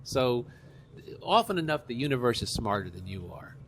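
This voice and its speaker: A man's voice: medium pitch, very animated, moderate pace, noisy background. A middle-aged or older man, an author being interviewed, telling anecdotes conversationally and repeating phrases for emphasis.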